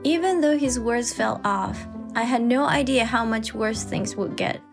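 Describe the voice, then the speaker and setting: A woman's voice: fairly high pitch, unhurried. A woman reading scripted first-person story narration as a put-upon wife, with music underneath. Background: background music.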